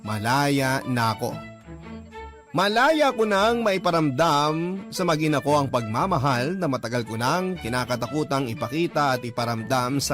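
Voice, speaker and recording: Fairly high male voice, actor playing grateful father, sentimental, earnest, radio drama narration, prominent music. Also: lightly acted.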